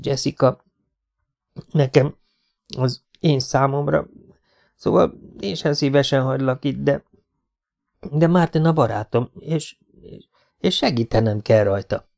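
Man doing a tired tough commando guy, gravelly, short clipped talk. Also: mid-range voice, darkish tone, close to an everyday voice. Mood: halting. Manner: terse, halting when moved.

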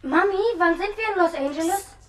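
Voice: very high-pitched, brisk, fairly bright tone, far from the mic, slight background noise. A performer playing an eager, adventurous little boy in a German radio drama, cheerful and wide-eyed.

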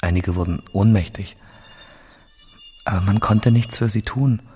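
A low, husky male voice as a haunted prisoner facing death in a radio drama. He tells his ordeal slowly and gravely, subdued and at times nearly whispering. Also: warm tone. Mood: weary, grave. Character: male captive awaiting execution, parched, grave, haunted survivor. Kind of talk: first-person radio drama monologue.

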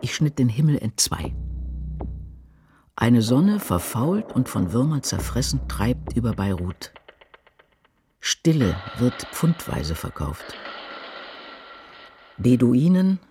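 A man performing dramatic poetry in a radio play as a grave witness of war, with intense, deliberate declamation. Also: medium pitch, very animated, background noise. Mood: grim, intense. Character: grave male poetic witness of war and apocalypse.

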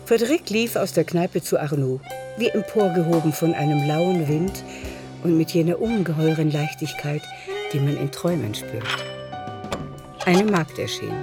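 A man tells a literary story as the narrator of a radio drama. His voice sits somewhat high for a man, and music plays clearly behind it. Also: normal speed.

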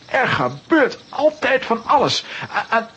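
An actor playing a fussy, nervous professor in a children's audio drama, flustered and exclamatory, with a husky voice. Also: male voice, extremely high pitch, sing-song, a little slow, sharp tone, slight background noise, full character voice, low-quality recording. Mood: agitated.

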